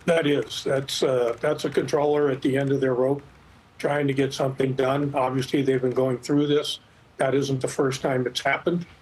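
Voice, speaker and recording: A middle-aged or older man giving expert commentary in a news interview, explaining things in a measured, hesitant way. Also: medium pitch, flat delivery, a little mellow, a little hiss or hum, rough recording. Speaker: expert guest, former air traffic controller.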